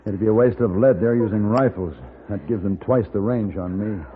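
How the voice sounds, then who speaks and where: Guy playing cool gunslinger in an old radio western, really low voice, clipped, matter of fact, hissy narrow sound. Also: normal speed, natural voice. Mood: alert, tactical. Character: cool, resourceful male gunfighter protecting a woman. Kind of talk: 1950s radio western acting. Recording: noisy, tinny 1950s radio recording.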